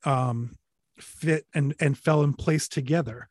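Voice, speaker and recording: Reflective man, higher voice, dry sound. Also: takes it slowly, a little mellow, no room sound. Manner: reflective, rambling with many fillers and restarts, casual swearing. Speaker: interview guest, recording artist. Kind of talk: relaxed conversational storytelling.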